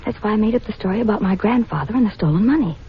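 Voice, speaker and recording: A woman's voice: mid-range voice, lively intonation, very fast, lightly acted. An actress playing a fretful young engaged woman in a 1940s radio melodrama, breathless, hesitant and imploring. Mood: anxious confiding. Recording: muffled, noisy 1940s radio recording.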